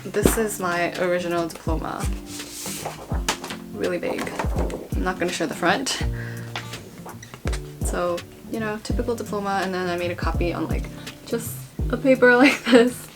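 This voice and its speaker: A woman's voice: on the low side, moderate pace, long pauses. A young woman talking casually and informally as a vlogger, in a chatty, rambling explainer style. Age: young adult. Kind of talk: casual informational vlog talk. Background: background guitar music.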